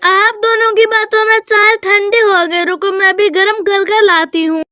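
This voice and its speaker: Cartoon older mother-in-law bird, played by a woman: very high voice, hospitable, kindly, motherly. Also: steady tone, normal speed, sharp tone, dry recording. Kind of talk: Hindi cartoon voice acting.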